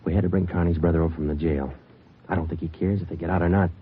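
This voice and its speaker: Man acting terse American radio detective, low voice, clipped matter-of-fact delivery, muffled old broadcast recording. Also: fairly even intonation, racing, slight background noise, close to an everyday voice. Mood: urgent, terse. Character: terse, level-headed male police detective. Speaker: lead actor and narrator. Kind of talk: 1940s radio drama acting and narration.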